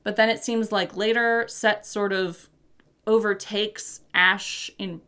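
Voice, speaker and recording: A woman co-hosting a podcast, explaining mythology in relaxed chat with many fillers and hedges. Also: medium pitch, takes it slowly, clear, resonant tone. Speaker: podcast co-host. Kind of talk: casual chat about mythology.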